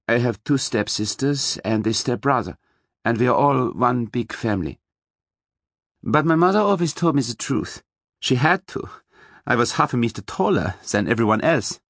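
A male narrator plays a tall, self-possessed German woman with a soft German accent. Her pitch is lifted and varied, her manner frank and brisk, in a dry audiobook recording. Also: expressive, dry recording. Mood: frank, open.

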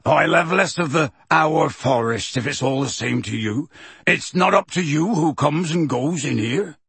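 A gruff half-giant gamekeeper, blunt and stubborn, voiced by a man in a testy, outspoken storybook reading. The voice has a slightly husky edge. The recording is close and dry. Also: on the high side, expressive, a little slow, a bit bright, no echo, full character voice. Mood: angry.

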